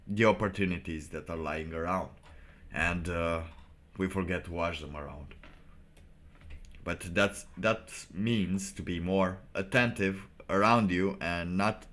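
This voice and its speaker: A man with a very low voice talks off the cuff like a streamer giving commentary. He philosophizes in a halting, rambling way, with a blunt, irreverent edge. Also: fairly bright tone. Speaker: live streamer reacting to motivational videos.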